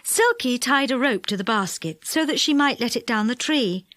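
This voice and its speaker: A woman narrating a children's audiobook in an expressive, measured storytelling style. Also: fairly high pitch, dry recording.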